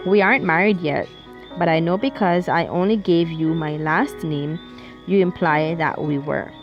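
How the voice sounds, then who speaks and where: Woman reading a suave, courteous man, low voice, soft music underneath. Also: normal speed, highs cut off, lightly acted. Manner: courteous, smooth. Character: suave adult man, attentive partner.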